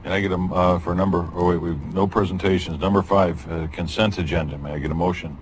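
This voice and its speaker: Man, board chair, extremely low voice, brisk procedural calls, table mic in a meeting room. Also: fairly even intonation, a little hiss or hum.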